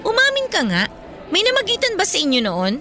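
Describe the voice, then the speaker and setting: A woman's voice: very high-pitched, huge pitch swings, fairly quick, bright, forceful tone, full character voice. A woman acting a defiant, outspoken young girlfriend in a radio drama, with a Filipino accent and an assertive, emphatic delivery. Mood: accusing. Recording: music bed behind the voice.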